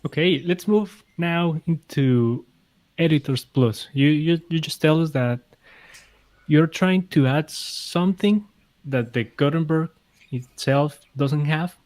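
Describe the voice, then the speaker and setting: Slow-talking interviewer from Colombia. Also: male voice, on the high side, a little mellow. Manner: questioning, some repeated words. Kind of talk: casual remote interview.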